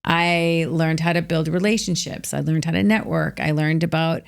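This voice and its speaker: A middle-aged woman with an American accent tells her story with energy and emphasis. Her voice sits a little low. She leans on "like" as she talks. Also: moderate pace, vocal fry. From United States. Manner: energetic, emphatic, filler-heavy with frequent "like". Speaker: guest, author. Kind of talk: candid storytelling interview.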